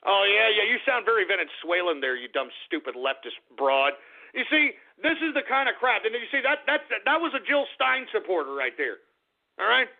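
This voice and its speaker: A man's voice: high-pitched, big pitch swings, moderate pace, gravelly. A man hosting a call-in radio talk show, ranting and insulting callers in an abrasive way. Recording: phone-like narrow band.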